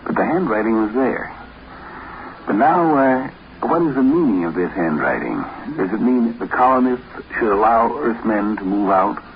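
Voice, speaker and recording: Low-voiced male host, noisy vintage radio. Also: very animated, moderate pace. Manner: reflective, rhetorical. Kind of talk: 1950s radio narration, musing commentary. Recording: muffled, noisy 1950s radio recording.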